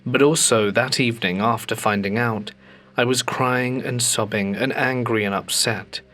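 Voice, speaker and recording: A man hosting a ghost story channel, reading submitted stories aloud in a calm, measured way with slowed dramatic pauses. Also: mid-range voice.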